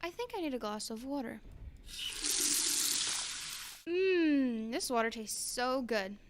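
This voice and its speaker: A teenage young woman playing a contented young cowgirl in a children's radio play, in a relaxed, simple manner. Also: high-pitched, slow pace, very noisy. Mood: contented, resolved. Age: teens. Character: young cowgirl, reckless but now sensible and content.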